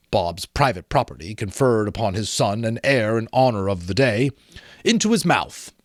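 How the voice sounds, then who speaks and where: A man reads a story aloud in an expressive, theatrical style. His medium-pitched voice moves up and down a lot, and the recording is dry. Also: no room sound. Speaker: amateur audiobook reader. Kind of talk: dramatic storybook narration.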